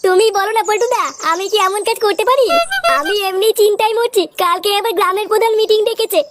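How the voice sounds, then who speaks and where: Super squeaky cartoon kid, agitated n indignant, sweary, talks fast, pitched up, bright. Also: soft background music. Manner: foul-mouthed, agitated. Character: excitable, indignant cartoon village youngster with squeaky sped-up voice. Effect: pitch-shifted cartoon voice. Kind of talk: comedy cartoon dialogue.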